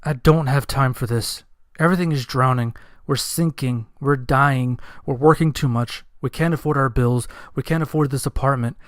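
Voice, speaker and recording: A man's voice: mid-range voice, moderate pace, few pauses, dry recording, clean studio sound, natural voice. A man voicing a stressed, overworked young boyfriend in an audio story, earnest and exasperated. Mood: exasperated, desperate. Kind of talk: dramatized audio story dialogue.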